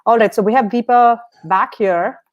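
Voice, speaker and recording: A woman hosting a podcast interview, chatty and upbeat, asking quick questions and reading out listener comments. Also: a little high, dull audio. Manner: upbeat, chatty, laughs easily, fast promotional reminders. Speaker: podcast host.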